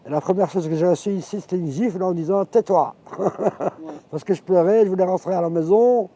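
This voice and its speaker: A man in his fifties from Morocco, an Arabic speaker, telling his life story in a reflective, halting way. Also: high voice, dark tone. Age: 50s. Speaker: interviewee. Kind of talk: personal life testimony.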